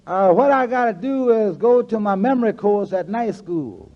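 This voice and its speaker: Slow dopey janitor, high draggy drawl, easygoing, old 40s radio comedy, muffled sound. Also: male voice, lively intonation. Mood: sleepy, obliging. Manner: drawn-out, hesitant drawl. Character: slow, dim-witted, easygoing janitor man. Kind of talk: 1940s radio comedy acting. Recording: muffled vintage 1940s radio recording.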